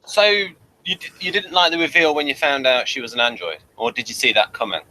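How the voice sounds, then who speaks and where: A man's voice: medium pitch, brisk, pushed and bright, rough recording. A man hosting a review show in casual chat, earnest and literal-minded.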